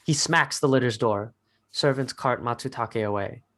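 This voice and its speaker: A man's voice: mid-range voice, moderate pace, fairly bright tone. A man narrating a script, reading out stage directions in short phrases.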